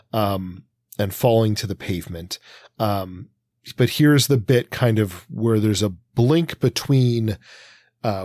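A man with a fairly low voice talks casually as a podcast co-host. He feels his way through ideas aloud, padding them with fillers and small hesitations. The recording is very dry, with no room sound. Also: no echo. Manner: hesitant, thinking aloud, frequent hedging and filler words. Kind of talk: casual discussion of a comic.

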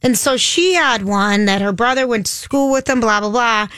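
A woman's voice: on the high side, moderate pace, dry recording. Chatty, rambling radio co-host woman. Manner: rambling, chatty storyteller with a loud voice. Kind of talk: casual radio chat. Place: radio studio.

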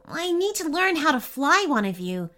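A woman's voice: high-pitched, big pitch swings, normal speed, bright, forceful tone, pitched above the performer's own voice, full character voice. A woman voicing a polite, nervous young boy in a story, hesitant, earnest and hopeful. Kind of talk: storybook character voice.

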